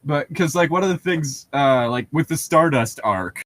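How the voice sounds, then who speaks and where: A man's voice: on the high side, lively intonation, moderate pace, a bit bright, dry recording. A man co-hosting a comedic read-aloud of fan fiction, casually riffing with sarcastic jokes and frequent laughter. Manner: jokey, sarcastic, laughs often.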